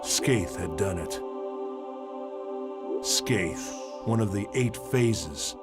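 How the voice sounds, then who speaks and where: A man acting as a brooding researcher who narrates past events in a measured, solemn way for a game drama. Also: on the low side, slightly rough, heavily acted. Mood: grave. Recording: music behind the voice.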